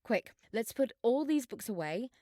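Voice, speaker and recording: Woman doing sarcastic dramatic teen schoolgirl, very lively swoopy delivery, audio drama. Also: fairly high pitch, moderate pace, natural voice. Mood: brisk, animated. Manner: dry, sarcastic, theatrical. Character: sardonic, dramatic teenage schoolgirl Katrina. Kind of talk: scripted audio drama dialogue.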